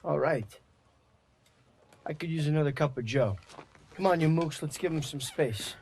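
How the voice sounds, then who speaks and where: An actor playing a friendly, wisecracking American soldier in a war game, with a chummy, colloquial way of talking. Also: male voice, a little high, moderate pace, a little mellow, slightly rough. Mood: supportive, wry. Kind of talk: cinematic war game acting.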